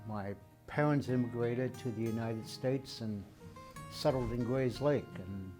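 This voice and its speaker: A man in his seventies, born in Denmark, telling his life story in an oral history interview, unhurried and conversational with frequent fillers. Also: mid-range voice. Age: 70s. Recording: soft guitar music underneath.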